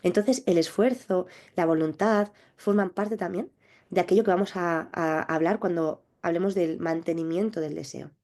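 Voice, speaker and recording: A woman sexologist answering interview questions, explaining in a measured, thoughtful way with small restarts. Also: lowish voice, a little mellow. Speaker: clinical sexologist guest.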